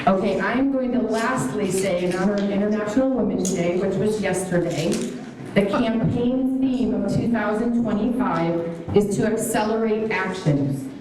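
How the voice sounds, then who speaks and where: A woman emcee reading quotes slowly and with feeling from a podium. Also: medium pitch. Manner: slow, heartfelt, deliberate. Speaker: event emcee. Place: large hall on stage. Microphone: handheld mic. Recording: echoey hall heard from far off, crowd behind.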